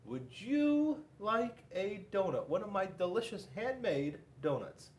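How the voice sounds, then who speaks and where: A man voicing a polite but fickle panda in a storybook, making slow, deliberate offers and refusals. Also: high voice, very animated, voice pushed up, full character voice. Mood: inviting, coaxing.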